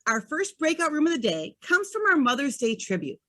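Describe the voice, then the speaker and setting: A woman's voice: sits high, very animated, brisk, pushed and bright. A woman hosting an online event, giving upbeat, scripted introductions. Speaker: event host. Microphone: video call.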